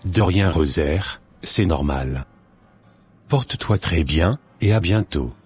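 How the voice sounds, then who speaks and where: A man's voice: low voice, expressive, takes it slowly, darkish tone, slightly rough, faint music behind, exaggerated voice. A man playing a cautious, devout young son in scripted cartoon dialogue, speaking earnestly as if advising.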